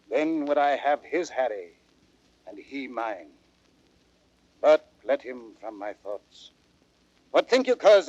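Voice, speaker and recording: A man's voice: fairly high pitch, a little mellow, no echo. An actor playing a proud, troubled king and father in a formal, declamatory classical radio drama, on a vintage recording. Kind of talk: classical Shakespearean radio acting. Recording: dull vintage audio recording.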